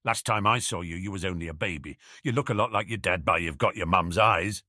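A man voicing a big, gruff but friendly groundskeeper with hearty, blunt, rustic speech in a storybook reading. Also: low voice, fairly quick, bright, forceful tone, dry recording, clean studio sound, pitched below the performer's own voice. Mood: jovial.

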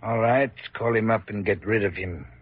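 A cold, menacing gunman in an old radio crime play. He gives curt orders in a low, husky voice, on a thin, muffled vintage recording. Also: steady tone, normal speed, dry recording. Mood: cold threat. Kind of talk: old-time radio acting.

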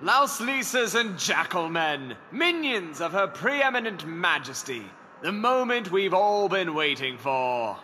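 A man's voice: high-pitched, very animated, slow, pushed and bright, slight background noise, full character voice. A man playing a pompous, fawning herald to an evil empress, in the grandiose announcing manner of a comedic fantasy audio drama. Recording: long arena reverb, faint ambience.

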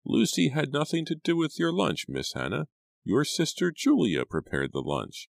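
A man's voice: fairly high pitch, huge pitch swings, moderate pace, vocal fry, no echo, pitched above the performer's own voice, full character voice. A man doing a firm, insistent housekeeper woman. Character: firm, fussy older woman housekeeper in an apron.

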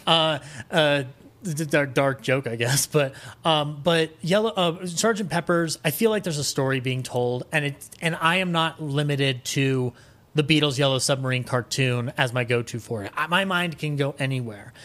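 A man talking as a podcast guest in a casual, enthusiastic way, animated, rambling and opinionated. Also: fairly high pitch, normal speed. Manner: animated, rambling, opinionated, acts out imagined quotes.

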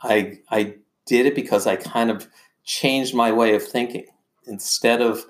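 An older man telling personal stories as a podcast guest, in a halting, rambling and earnest conversational way. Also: on the low side.

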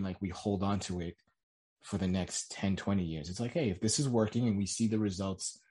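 Man, low-pitched, rough husky voice, podcast host, musing and recapping, conversational. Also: warm tone. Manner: musing, sums up what others said.

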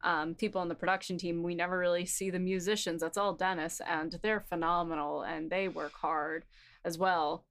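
A woman's voice: on the low side, steady tone, moderate pace, a bit bright. A woman co-hosting a podcast, chatting casually and playfully with friends in a rambling way. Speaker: podcast co-host and co-producer. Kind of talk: casual chat among friends.

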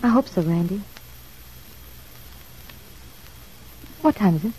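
A woman playing a glamorous, friendly and coy young club performer in an old-time radio soap, husky-voiced. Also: medium pitch, expressive, rapid-fire, warm tone, low-quality recording. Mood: coy, tentative.

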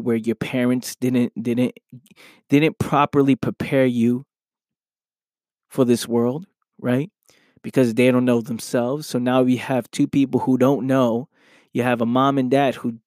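Male podcast host, a bit hoarse, earnest motivational talk, close dry mic, mid-range voice. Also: medium pitch, a little mellow, no echo. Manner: earnest, repeats "right?" often. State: slightly hoarse from losing his voice.

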